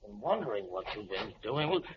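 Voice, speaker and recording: A man's voice: lowish voice, very animated, moderate pace. A flustered, stammering accomplice in an old radio noir. His intonation jumps around nervously. The sound is dull and lo-fi. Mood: nervous, startled. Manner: hesitant, stammering. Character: flustered, nervous male accomplice caught off guard. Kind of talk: 1940s radio noir acting. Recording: dull lo-fi vintage radio recording.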